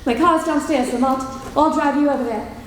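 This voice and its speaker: A woman voices a poised heroine in the old radio style, high-pitched and clear. Long reverb from a convention hall hangs behind her, with faint ambience. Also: fairly quick, slight background noise, lightly acted. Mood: worried. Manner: clear, earnest, questioning. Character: poised, capable young woman companion. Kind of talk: live old-time radio drama reading. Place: large convention hall, live stage.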